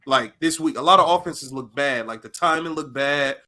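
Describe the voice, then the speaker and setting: A man's voice: on the high side, moderate pace, sharp tone. An American man co-hosting a casual sports chat, putting questions to the others in loose, slangy speech. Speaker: podcast co-host.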